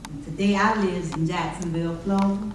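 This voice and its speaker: A woman performing as an elderly formerly enslaved woman in a readers theater piece, speaking earnestly and haltingly. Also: fairly low pitch, fairly even intonation, natural voice. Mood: earnest, careful. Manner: halting, repetitive, deliberate. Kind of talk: readers theater monologue in old-time dialect. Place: church hall stage, recorded from afar. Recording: distant, very echoey hall, faint noise.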